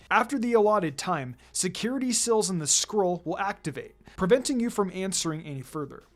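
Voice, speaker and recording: A narrator reading a story aloud in an expressive, dramatic audiobook style. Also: medium pitch. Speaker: fan fiction reader, narrator. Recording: music behind the voice. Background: background music.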